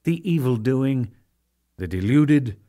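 A man narrating sacred scripture as a dramatic reading, solemn and declamatory, in measured phrases with deliberate pauses. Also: medium pitch, animated, warm tone. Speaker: audiobook narrator.

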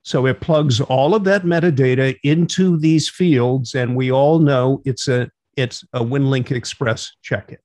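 A man's voice: mid-range voice, steady tone, unhurried, hardly any pauses, a little mellow, no echo. A middle-aged man hosting an instructional radio net in an orderly, procedural way over a video call. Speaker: net control operator and host. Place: small home room, on a video call.

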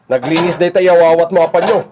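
A man's voice: fairly high pitch, fast, crowd noise, close to an everyday voice, poor audio. A man with a Filipino accent acting in a radio drama as a bashful, grateful young garage worker.

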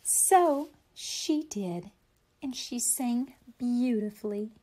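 A woman's voice: a little high, animated, very slow, warm tone. A woman reading a picture book aloud expressively for young children, explaining things simply like a teacher.

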